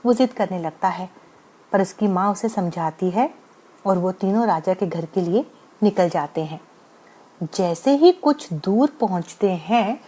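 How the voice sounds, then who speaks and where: A woman's voice: medium pitch, warm tone. A woman narrating a scripted bedtime moral story as the storyteller.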